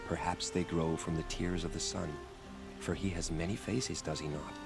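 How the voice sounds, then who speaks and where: An actor playing a genteel, wistful trader in a video game, speaking in a florid, courtly, theatrical manner. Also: male voice, sits low, steady tone, moderate pace, creaky, music in the background. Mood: mystical. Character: flowery, genteel male trader and former adventurer, wistful. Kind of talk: video game dialogue acting.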